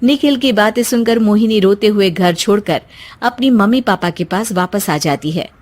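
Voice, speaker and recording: A woman's voice: medium pitch, normal speed, darkish tone, a little hiss or hum. A woman narrating a scripted cartoon story as its storyteller.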